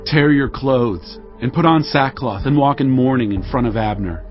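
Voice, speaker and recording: A man's voice: mid-range voice, moderate pace, close to an everyday voice. An actor playing a solemn, righteous king who makes stern, weighty proclamations in a dramatized audio Bible. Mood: mournful. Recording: slightly dull sound, music underneath. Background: background music.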